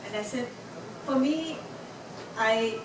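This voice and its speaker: A woman's voice: fairly high pitch, slow. A middle-aged woman with an Indian accent, telling a personal story on stage in a rambling, chatty way with many fillers. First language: Tamil. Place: bar venue. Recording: echoey venue, mic from a distance, noisy.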